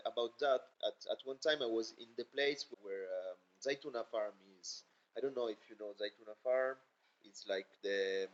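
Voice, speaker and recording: Halting man, low voice. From Italy. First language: Italian. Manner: halting, many fillers and restarts. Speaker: guest. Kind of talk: casual interview chat. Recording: dull lo-fi podcast audio.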